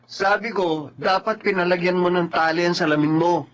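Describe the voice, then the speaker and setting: Bal, a man playing a needling coworker, very high. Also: steady tone, moderate pace, fairly bright tone, dull audio. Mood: teasing. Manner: needling, mocking. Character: teasing male coworker.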